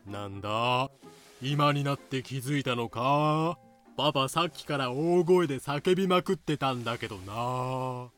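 A man's voice: mid-range voice, unhurried, fairly bright tone, soft background music, close to an everyday voice. A man playing a theatrical, volatile middle-aged father and company boss in a comic anime-style voice drama. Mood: overjoyed, fussing.

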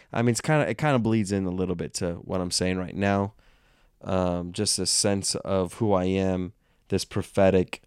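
A man's voice: sits low, creaky, clear, resonant tone, no room sound, clean studio sound. A man hosting a casual solo podcast, congested and nasal from illness, rambling and self-aware. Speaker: podcast host.

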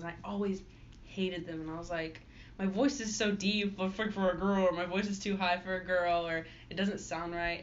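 A young woman, a singer-songwriter, chatting casually in an interview and filling her answers with "like". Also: on the low side. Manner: chatty, many fillers. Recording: dull lo-fi audio with faint noise.

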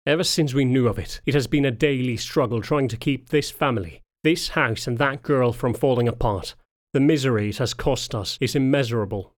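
A man's voice: mid-range voice, normal speed, hardly any pauses, close to an everyday voice. A man voicing a big, lean, older wolfhound knight in an audiobook, formal and restrained, with strained emotion underneath. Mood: biting, strained.

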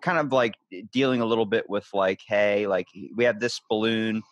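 A man's voice: on the low side, steady tone, normal speed, no echo, dull audio. A man hosting a podcast interview, loose, rambling and jokey in casual chat. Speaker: podcast host.

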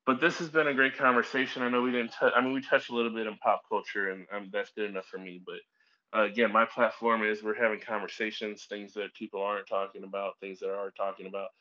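A man's voice: lowish voice, flat delivery, quick pace, bright, forceful tone. A man hosting a podcast with a Midwestern American accent, talking casually and rambling a little with many fillers. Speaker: podcast host. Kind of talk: casual conversation. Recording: dull lo-fi audio.

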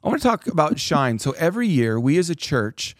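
A man hosting a casual church podcast, talking with animated, preachy emphasis and reading scripture aloud. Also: on the high side, a little fast, dry recording, clean studio sound. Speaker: pastor and podcast host.

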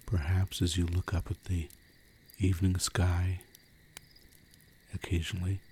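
A man with a low, dark, relaxed voice leads a sleep meditation. He speaks in a hushed, soothing way, leaving long pauses. Crickets sound softly behind him with faint noise. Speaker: guided meditation narrator. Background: crickets and crackling fire.

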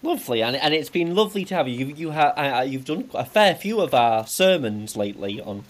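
A woman's voice: low-pitched, big pitch swings, brisk. A woman hosting, speaking casually as she introduces people and hands over questions. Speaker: host.

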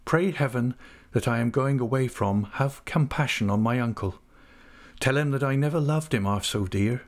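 A man's voice: medium pitch, normal speed, close to an everyday voice. Storybook letter, read by a male narrator: remorseful young woman, sorrowful, tender pleading, lingering on phrases.

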